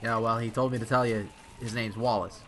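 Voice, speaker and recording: An actor playing a casual desk policeman in an old-time radio drama, booming and informal. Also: fairly even intonation, fairly quick, fairly bright tone, a little hiss or hum, close to an everyday voice. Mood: dutiful, informal. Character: loud, deep-voiced, casual male desk policeman.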